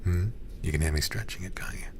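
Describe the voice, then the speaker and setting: A man voicing a teasing, menacing demon warlord in an intimate, slow, whispery ASMR roleplay, close to the microphone. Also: low voice, noisy background, close to an everyday voice.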